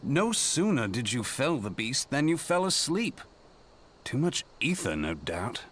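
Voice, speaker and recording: A man's voice: mid-range voice, big pitch swings, unhurried, a bit bright, slight background noise. A man playing a wry, urbane, perceptive adventurer in a fantasy game, with teasing and theatrically formal diction. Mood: wry musing. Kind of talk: fantasy game cutscene acting.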